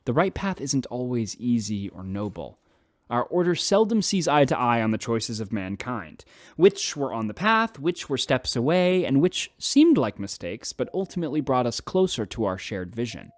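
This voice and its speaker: A man voicing a calm, reflective member of a spiritual order. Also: mid-range voice, normal speed, no echo, studio quality, lightly acted.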